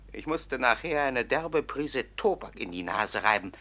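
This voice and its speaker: Swooping, boastful bandit. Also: male voice, mid-range voice, sharp tone, full character voice. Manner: gloating, swaggering. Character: boastful, gleefully wicked male bandit storyteller. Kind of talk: classic theatrical radio drama.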